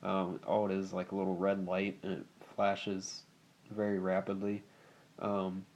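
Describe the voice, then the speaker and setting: Low-pitched gravelly man giving casual how-to. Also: a little mellow. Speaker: hobbyist video host demonstrating alarm wiring. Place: indoors at home. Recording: faint background noise.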